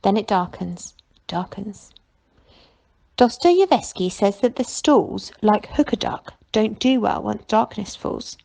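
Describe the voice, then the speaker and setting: A woman reading a children's novel aloud in an expressive storytelling style. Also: medium pitch, slow pace, creaky.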